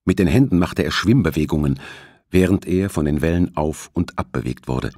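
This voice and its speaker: A man with a low-pitched voice reads a scripted thriller audiobook. His delivery is measured and dramatic, in a dry, close sound. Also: mellow, no room sound. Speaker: audiobook narrator.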